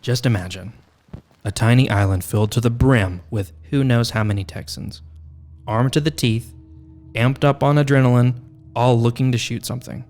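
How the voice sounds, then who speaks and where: A man's voice: medium pitch, normal speed, darkish tone. A man hosting a podcast, reading scripted narration in a wry, tongue-in-cheek way. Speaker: podcast host.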